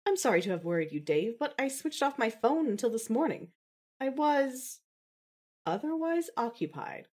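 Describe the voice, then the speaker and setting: A woman's voice: on the high side, big pitch swings, normal speed, a little mellow, breathy edge. A woman playing a wry, articulate, affectionate young woman in a fan fiction audio drama.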